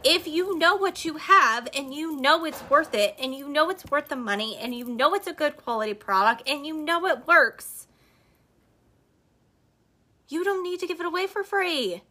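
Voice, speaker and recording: Woman, high voice, bright, slightly hoarse, emphatic podcast host, repetitive advice. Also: expressive, moderate pace. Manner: emphatic, repetitive, direct to listeners. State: slightly hoarse, losing her voice. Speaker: podcast host and network marketer. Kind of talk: motivational business talk on a live stream.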